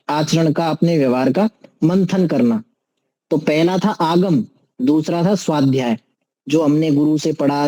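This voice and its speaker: A man's voice: a little high, mellow, rough recording. A man giving an explanatory religious discourse as a teacher, didactic, posing questions and answering them himself, with recited Sanskrit verses. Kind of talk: explanatory sermon, teaching scripture to listeners.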